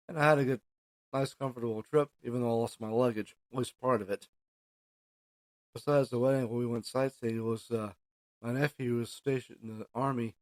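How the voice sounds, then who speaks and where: Middle aged guy from texas just rambling on his podcast, medium pitch, plain and halting, dry recording. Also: darkish tone, dry recording. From Houston, Texas. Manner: halting, plain-spoken, pauses mid-sentence. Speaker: podcast host. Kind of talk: casual solo podcast monologue.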